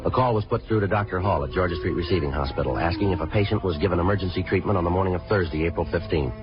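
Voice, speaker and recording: A stern, businesslike detective played by a man on a 1950s radio police show. His very deep voice moves quickly, in clipped, deadpan, matter-of-fact phrases. The sound is muffled, as on an old broadcast. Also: music in the background, lightly acted. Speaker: detective narrator. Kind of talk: 1950s radio police drama narration and dialogue.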